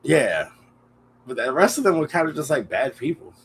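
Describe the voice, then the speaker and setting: A man's voice: mid-range voice, sing-song, moderate pace, a little hiss or hum. A man co-hosting a podcast, chatting casually with blunt, slangy reactions. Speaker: podcast co-host. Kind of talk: casual chat.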